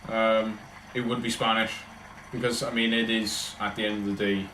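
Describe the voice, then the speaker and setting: A man's voice: on the low side, little pitch movement, normal speed, bright, forceful tone, a little hiss or hum. Rambling Welsh man, roomy echo. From Wales. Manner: rambling, chatty, talks in loose run-on thoughts. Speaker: livestreamer answering chat. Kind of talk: casual livestream chat.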